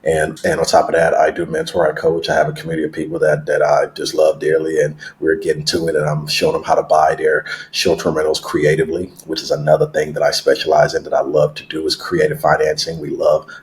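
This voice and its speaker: A man in his thirties who was born in Nigeria and raised in Houston, talking as a podcast guest. He tells his story in a relaxed, rambling way, correcting himself often and filling pauses with filler words. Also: extremely low pitch, slight background noise, poor audio. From Nigeria, raised in Houston, Texas. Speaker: guest, real estate investor.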